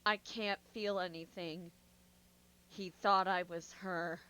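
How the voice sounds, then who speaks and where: A woman streamer reacting live in casual chat, excitable and jumpy, repeating herself when startled. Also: on the low side, slow pace, clean tone. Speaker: streamer reacting to horror videos. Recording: dull lo-fi sound, music behind.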